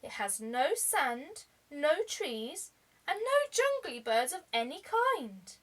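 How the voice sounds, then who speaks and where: A woman voicing a small young male penguin in a storybook, squeaky, eager and exclaiming with excitement. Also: slow, exaggerated voice. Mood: puzzled.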